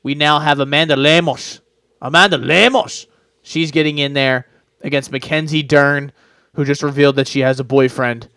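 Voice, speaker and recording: A man's voice: on the high side, fairly even intonation, normal speed, pushed and bright, smooth, no room sound, studio quality. A man co-hosting a podcast, giving casual betting picks and rambling with lots of fillers and restarts. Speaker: podcast co-host. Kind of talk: casual sports betting chat.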